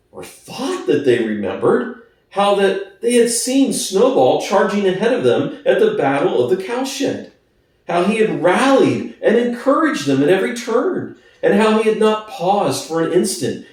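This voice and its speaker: A man's voice: sits high, sing-song, measured pace, darkish tone. A man narrating a book as a dramatic reading. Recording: echoey room, distant from mic.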